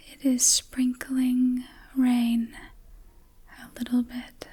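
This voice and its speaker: A woman guiding an ASMR meditation in a soothing, hushed, whispery soft voice, leaving long pauses between short phrases. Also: fairly high pitch, breathy edge. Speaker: ASMR host, meditation guide.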